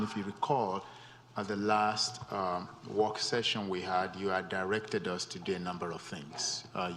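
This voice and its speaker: Deep, gravelly man in a council hall. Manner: steady, filler-heavy. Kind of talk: council meeting remarks. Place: town council chamber. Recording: reverberant council chamber, faint room noise.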